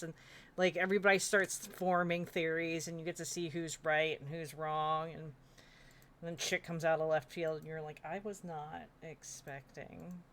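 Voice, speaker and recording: A streamer with a medium-pitched voice talks in a casual, informal way, as if among friends on a panel. There is some light noise behind the voice. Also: fairly bright tone. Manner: informal, mild swearing. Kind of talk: casual chat.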